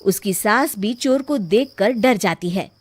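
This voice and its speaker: A woman's voice: mid-range voice, lively intonation, measured pace, slightly rough. A woman reading a scripted children's story as its narrator.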